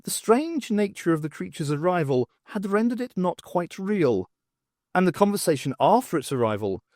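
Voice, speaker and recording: A man narrates an audiobook in a fairly high-pitched voice. His delivery is very lively and varied in pitch, reading scripted prose as a storyteller. The sound is close and dry. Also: dry recording.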